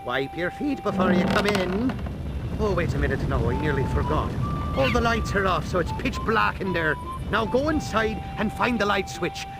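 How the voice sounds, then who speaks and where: A man's voice: sits high, animated, moderate pace. A man voicing a chirpy, folksy Irish mine-dwelling character in a cartoon video game, with a lilting way of talking. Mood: helpful, chatty. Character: chirpy Irish leprechaun-like mine owner, male. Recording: loud music behind the voice. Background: game music.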